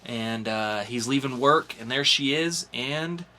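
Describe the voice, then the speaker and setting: Bright, forceful man hosting a podcast. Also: medium pitch, measured pace. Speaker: podcast host. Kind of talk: casual podcast talk.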